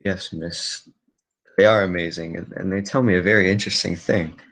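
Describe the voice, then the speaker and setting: A man plays a circus clown out of costume in an old radio drama. His voice is low, his manner solemn, polite and a little hesitant. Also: steady tone, normal speed, fairly bright tone, poor audio. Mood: grave, intent. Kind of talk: 1940s radio play acting.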